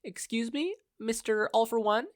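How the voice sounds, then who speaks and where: Audio story role, male reader: captive mother, courteous but unimpressed, raised pitch, dry close sound. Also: moderate pace, dry recording. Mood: polite, probing. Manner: courteous, questioning, dryly unimpressed. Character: polite, skeptical kidnapped mother, unimpressed and inquisitive.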